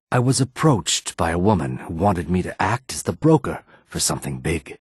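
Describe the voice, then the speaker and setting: A man voicing a shady, self-serving lizard-man merchant in a video game, guarded and smooth-talking, with a raspy voice. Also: sits low, dry recording, studio quality, full character voice. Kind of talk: scripted video game character dialogue.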